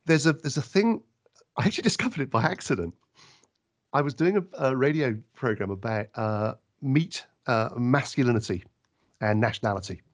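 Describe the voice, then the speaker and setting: A man who works as a radio interviewer, answering questions in an animated, emphatic way with frequent restarts. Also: mid-range voice, moderate pace.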